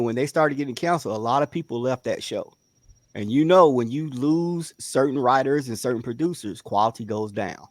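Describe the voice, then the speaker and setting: A man's voice: medium pitch, dry recording. A man co-hosting a casual debate, arguing bluntly and insistently and repeating himself for emphasis. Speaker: panel show co-host.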